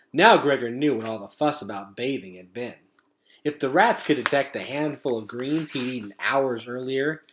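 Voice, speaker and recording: A man's voice: medium pitch, a little hiss or hum. A man narrating a children's novel expressively as a read-aloud storyteller.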